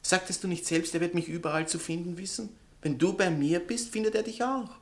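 An actor playing a vain, nervous writer and former lover in a satirical radio play, theatrical, sarcastic and easily agitated. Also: male voice, high voice, a little fast.

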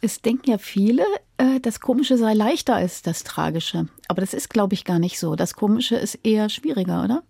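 A woman's voice: mid-range voice, lively intonation, fairly quick, creaky, few pauses, warm tone, slightly rough, no room sound, clean studio sound. A woman interviewing a guest, putting composed, considered questions in a conversational way.

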